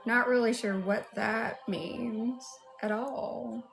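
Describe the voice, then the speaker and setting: A woman with a Southern US accent chats casually as a livestream host. Her voice sits on the high side and she takes her time, speaking to viewers individually. Music plays behind her. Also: steady tone, gravelly. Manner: unhurried, chatty, addressing viewers one by one.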